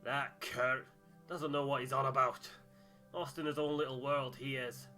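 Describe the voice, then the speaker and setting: Man playing a loud, scornful crewman. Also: mid-range voice, flat delivery, normal speed, soft background music, natural voice. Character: grumbling, scornful expedition crewman.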